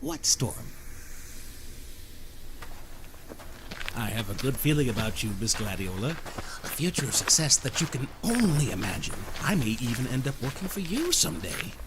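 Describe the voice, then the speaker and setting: Man playing a theatrical, swooping, smooth-talking villain. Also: medium pitch, sing-song, a little fast, background noise, natural voice. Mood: smugly cheerful. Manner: theatrical, mock-polite, wordy. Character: smooth-talking, falsely kindly villainous male con man. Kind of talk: scripted audio drama acting.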